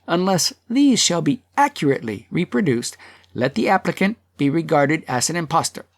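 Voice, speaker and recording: A narrator voicing a grave, formal male chairman of a town meeting in an audiobook, speaking in measured declamation. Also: medium pitch, big pitch swings, voice pushed up. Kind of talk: acted audiobook dialogue.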